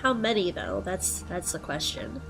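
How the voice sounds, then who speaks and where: A young woman with a head cold and a stuffed-up nose, chatting casually through gameplay and thinking out loud with plenty of ums. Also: fairly low pitch, moderate pace, music in the background, rough recording. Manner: relaxed, thinking aloud with frequent ums. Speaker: let's play host.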